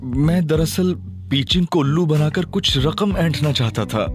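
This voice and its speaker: A man's voice: fairly high pitch, measured pace, over music, close to an everyday voice. An actor playing a cocky young male detective in an audio drama, teasing and theatrical, also narrating in first person. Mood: pleading. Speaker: Ali Imran.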